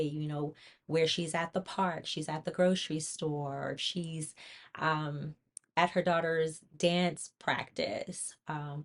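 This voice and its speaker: Casting: female presenter, somewhat low pitch, earnest conversational delivery, informal review style. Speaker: presenter reviewing a children's book. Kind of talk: informal talk to camera.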